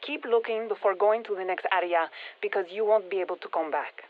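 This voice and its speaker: An actress playing a brisk, focused female military scientist giving clipped mission instructions over a radio in a video game. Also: mid-range voice. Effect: radio filter. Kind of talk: scripted game mission briefing. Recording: tinny band-limited radio transmission.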